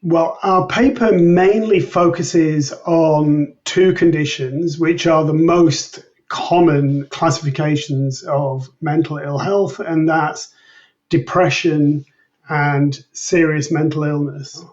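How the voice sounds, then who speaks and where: Higher-pitched man, distant and dull-sounding. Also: steady tone, a little mellow. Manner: measured, precise, methodical explaining. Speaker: researcher being interviewed. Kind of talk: explanatory interview answers.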